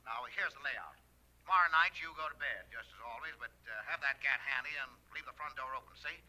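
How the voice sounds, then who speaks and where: An actor playing a tough, sly gangster in 1940s radio drama, giving clipped, slangy orders over a telephone. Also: male voice, mid-range voice, fast talker, bright, forceful tone, low-quality recording. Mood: sly, businesslike. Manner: clipped, slangy, punctuated with "see?".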